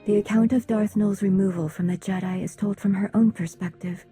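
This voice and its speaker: A solemn alien envoy in a game cutscene, her voice very dark and mellow. She is reserved and formal, measuring out each sentence with restraint. Music plays behind her. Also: medium pitch, fairly even intonation, a little fast, slightly rough. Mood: solemn, measured. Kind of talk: video game cutscene dialogue.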